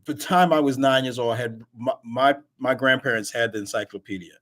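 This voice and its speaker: An emphatic man hosts an online talk radio show in loose, casual conversation. His voice sits at a middle pitch, and he doubles back on words when he gets heated. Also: fairly quick, a bit bright. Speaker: host.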